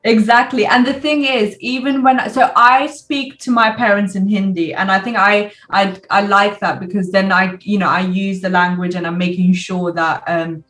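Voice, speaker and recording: A woman's voice: mid-range voice, little pitch movement, moderate pace, few pauses, fairly bright tone, distant mic. Chatty British woman in her twenties. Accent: British English. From United Kingdom. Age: 20s. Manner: chatty, hesitant with many fillers, laughs often. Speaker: guest. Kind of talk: casual interview chat.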